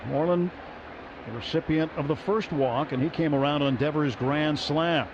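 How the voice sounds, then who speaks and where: Male play-by-play caller, fairly high voice, muffled radio sound with ballpark noise behind. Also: normal speed. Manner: steady, rises sharply on big plays. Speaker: play-by-play announcer. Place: ballpark broadcast booth.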